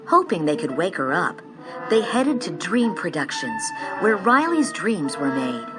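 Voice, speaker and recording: Animated, lowish-voiced woman storyteller. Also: low-quality recording. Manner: expressive, measured storytelling. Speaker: storybook narrator. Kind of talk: scripted storybook narration. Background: music.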